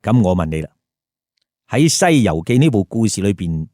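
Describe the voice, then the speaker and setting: A man's voice: fairly low pitch, sing-song, moderate pace, mellow, no echo, studio quality. A man with a Hong Kong Cantonese accent telling a story with colloquial, emphatic commentary and rhetorical questions. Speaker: storyteller host.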